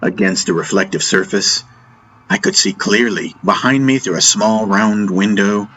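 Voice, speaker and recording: A man reading a dramatic first-person audiobook story in deliberate, theatrical phrases with weighty pauses. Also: on the low side, fairly bright tone, slight background noise. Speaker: audiobook narrator. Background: atmospheric music soundtrack.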